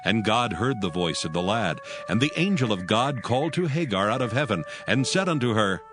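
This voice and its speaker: A man narrating a dramatized scripture reading in an even, measured way. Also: on the low side. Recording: music bed behind.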